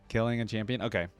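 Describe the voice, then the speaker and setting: A man's voice: on the low side, smooth. Casual, amused man reacting over faint music. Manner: amused, informal, slangy. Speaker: reaction video host.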